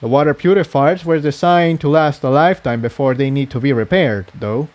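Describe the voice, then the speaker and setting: Guy doing a young boy pony engineer, earnest n matter of fact, storybook, lightly high voice. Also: a little fast, a little mellow, close to an everyday voice. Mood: explaining.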